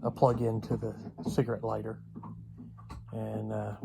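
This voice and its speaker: A man vlogs off the cuff in a monotone with a gravelly edge. He speaks haltingly and hesitantly, pausing often as he casually explains. The home-made recording has clear noise behind him. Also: lowish voice. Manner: halting, hesitant delivery with long pauses. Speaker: vlogger. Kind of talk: casual unscripted vlog talk.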